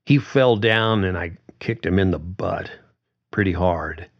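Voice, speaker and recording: A man's voice: low-pitched, muffled recording. A man voicing a young woman, a daughter who answers her father hesitantly. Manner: hesitant, halting replies. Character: young woman, daughter, shaken but frank.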